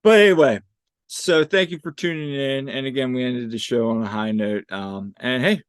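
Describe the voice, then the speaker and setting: A man's voice: medium pitch, moderate pace, smooth, no room sound, studio quality. A young man co-hosting a sports podcast in a casual, rambling way, with frequent restarts and fillers. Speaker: podcast co-host.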